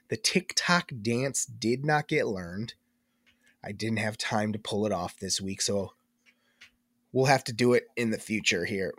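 Congested young man, lively, stuffed up. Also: mid-range voice, dry recording, clean studio sound. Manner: rambling, self-interrupting, animated. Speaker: podcast co-host. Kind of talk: casual chat.

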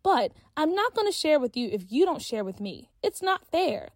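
A woman's voice: high voice, very animated, normal speed, darkish tone, no echo, clean studio sound, voice pushed up. A woman voicing a frank schoolgirl who speaks her mind in a direct, sassy way. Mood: upset. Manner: direct, sassy, plain-spoken.

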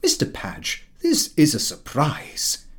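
A British man playing a stuffy, easily offended middle-class gentleman with a stiff, indignant manner, in an audiobook. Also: fairly high pitch, wildly swooping pitch, slow pace, heavily acted. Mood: exasperated.

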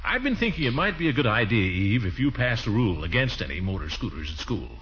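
An actor playing a pompous, easily flustered, flirtatious middle-aged uncle in a 1940s radio comedy, blustering and hesitant. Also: male voice, medium pitch, sing-song, fast talker, a bit bright, breathy edge. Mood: casually scheming. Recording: muffled, noisy 1940s radio recording.

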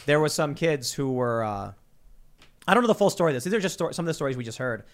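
A man from Chicago in his thirties hosting a podcast, telling stories quickly with lots of restarts. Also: fairly high pitch. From Chicago, USA. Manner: fast, animated storytelling with many restarts. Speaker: podcast host. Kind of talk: casual conversation.

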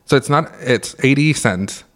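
A young man co-hosting a casual podcast, talking with a raspy just-woke-up voice and joking and laughing as he tells stories. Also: mid-range voice, lively intonation, normal speed, fairly bright tone. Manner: joking, laughs often, rambling storyteller. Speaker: podcast co-host. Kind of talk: casual chat.

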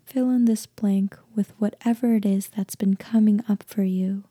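Female meditation guide, soft half-whisper, very warm and relaxed tone, gentle slow delivery, close dry sound. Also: mid-range voice, breathy edge, no echo. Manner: gentle, hushed, deliberate with long pauses.

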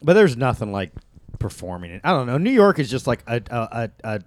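A man's voice: mid-range voice, sing-song, fairly quick. A man co-hosting a comedy podcast, joking crudely and boastfully with plenty of swearing. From USA. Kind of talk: casual comedy podcast chat.

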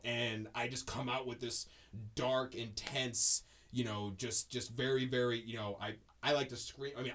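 A man's voice: fairly low pitch, a bit bright. A man hosting a podcast in casual chat, telling stories in a rambling, emphatic way, full of ums and restarts. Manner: rambling, heavy on fillers and restarts, emphatic storytelling. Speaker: podcast host.

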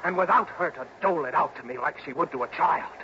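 An actor playing a nervous, scheming younger husband in mid-century radio drama, talking in a flustered, stammering, pleading way. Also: male voice, fairly high pitch, fast talker, close to an everyday voice. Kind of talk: 1950s-60s radio drama acting. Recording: dull, noisy vintage radio recording.

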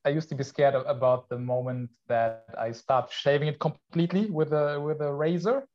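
Podcast guest: man from Poland, fairly high voice, stop-start chat, dull recording. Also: darkish tone. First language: Polish. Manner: halting, with many restarts and laughs. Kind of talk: casual chat. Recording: muffled lo-fi sound.

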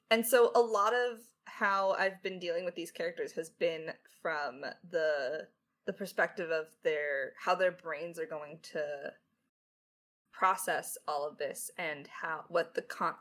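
A woman with a slightly low voice speaks as a lead writer and co-host. Her delivery is conversational and wry, as in a relaxed, informal Q&A. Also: unhurried. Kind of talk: casual Q&A chat.

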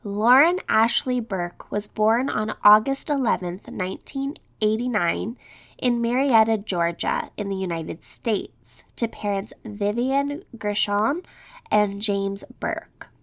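A woman's voice: medium pitch, animated, takes it slowly, creaky, fairly bright tone. A woman hosting a true crime podcast, reading a scripted narration in a slightly scratchy voice as she recovers from an illness. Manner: reads script with quotes and statistics.

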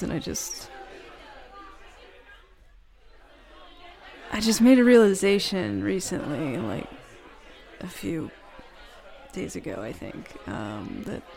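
A woman playing a flustered, smitten, jealous roommate in an intimate roleplay audio, speaking haltingly and nervously. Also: fairly low pitch, a little mellow, heavily acted. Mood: nervous, earnest. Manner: halting, nervous, stammering with breathy laughs. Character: flustered, smitten, jealous bad-girl roommate confessing a crush. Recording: bar crowd murmur behind voice.

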